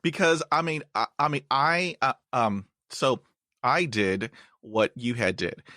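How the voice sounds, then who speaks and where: A man hosts a casual podcast chat and tells his own story at a very slow, unhurried pace. He stutters, repeating sounds and stalling on words. The sound is dry and close, with no room echo. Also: mid-range voice, bright, forceful tone. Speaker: podcast host.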